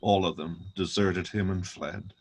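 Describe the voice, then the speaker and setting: A man's voice: low voice, fairly even intonation, measured pace, a little mellow. A man narrating a dramatic reading of scripture.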